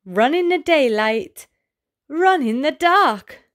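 A woman with a high voice reads a picture book for little children. Her pitch swoops up and down in an exaggerated, sing-song way. She takes her time, at a slow storytelling pace. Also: lively intonation, fairly bright tone. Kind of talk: expressive read-aloud of a picture book.

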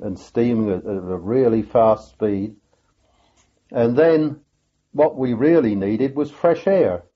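An older man tells his memories of a sea battle slowly, pausing and restarting often. His voice is slightly husky. The audio is dull and lo-fi, like a documentary interview. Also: medium pitch. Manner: halting, many restarts and pauses. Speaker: veteran sailor recounting a battle. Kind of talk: reflective interview recollection.

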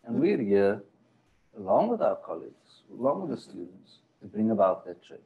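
A man moderates a panel, his pitch rising and falling in a lively way as he builds up long questions. He hesitates often and circles back as he searches for his words. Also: moderate pace, narrow, tinny audio.

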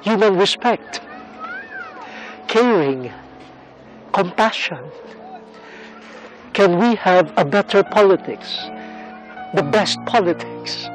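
A middle-aged church leader speaking outdoors on camera, measured and earnest, with frequent pauses. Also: male voice, high-pitched, animated, faint music behind, low-quality recording. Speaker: church leader visiting a camp. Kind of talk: reflective on-camera statement. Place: outdoors on a rooftop above a camp.

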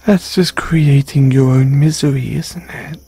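A man performing an intimate comfort roleplay as a gentle boyfriend consoling his grieving partner, speaking in a soothing, tender, hushed way. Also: mid-range voice, steady tone, a little slow, breathy edge, faint music behind.

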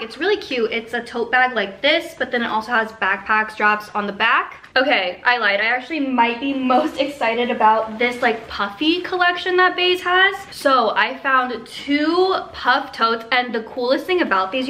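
A young woman talks casually to her camera in a living room. Her voice is fairly high and very bright, and she speaks with excited, gushing emphasis. A little background noise and room ambience sits behind her. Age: 20s. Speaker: vlogger. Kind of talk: casual chatty haul vlog to camera. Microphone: camera a short way in front of her.

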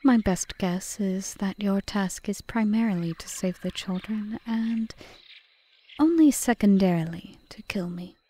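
Woman acting a slow, mellow, composed witch. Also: mid-range voice, natural voice. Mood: dry, curious. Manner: measured, formal, breathy half-whispered delivery. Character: cool, bargaining yandere witch, aggrieved yet composed. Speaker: audio roleplay performer. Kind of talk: ASMR roleplay acting.

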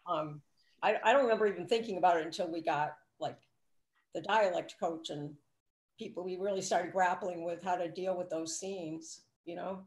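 A woman's voice: fairly low pitch, moderate pace. Older woman, roomy video-call sound. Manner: chatty, acts out quoted lines. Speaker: film director. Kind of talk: casual Q&A storytelling. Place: living room at home. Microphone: laptop webcam on a video call.

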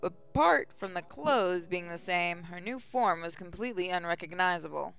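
A man's voice: sits high, animated, moderate pace, vocal fry, bright, forceful tone, faint music behind. A man reading a game's text aloud and commenting sarcastically, swearing often. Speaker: let's play host.

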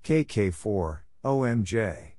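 Guy w/ slightly deep voice reading callouts for code practice, neutral and scripted, super dry sound. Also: animated, slow, dark tone, dry recording. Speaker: narrator of Morse code practice drills. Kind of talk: scripted read-out of letters, numbers and short phrases.

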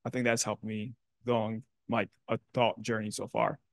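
A young man speaking earnestly and in a measured way as a panelist in a university classroom. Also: on the low side, level tone, vocal fry, no room sound, clean studio sound. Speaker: panelist, alumnus. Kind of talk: panel discussion.

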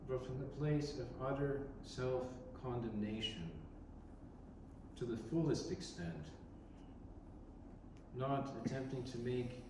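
Priest guy giving a sermon, slow and thoughtful, sounds far off in a big echoey church. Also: medium pitch, background noise. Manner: slow, reflective, with pauses and restarts. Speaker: Orthodox priest. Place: large church, indoors. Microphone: distant camera in the congregation.

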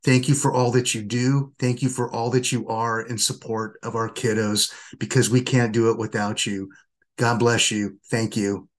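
A man's voice: medium pitch, level tone, moderate pace. An older man, a school board member, giving an earnest, upbeat prepared address from a home office over a webcam.

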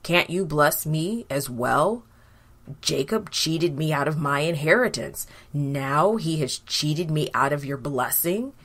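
A woman's voice: deep voice, lively intonation, slow, fairly bright tone, full character voice. A woman voicing a resentful, hot-tempered firstborn son in a children's Bible story, with blunt, brooding delivery. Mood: furious, pleading.

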